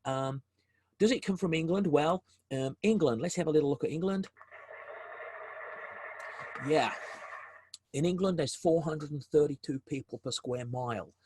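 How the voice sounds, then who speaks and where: A man's voice: a little high, normal speed, pauses often, a little mellow, husky, no echo, low-quality recording. A man with a South African accent presenting a business webinar over a video call, often repeating words and restarting phrases as he goes.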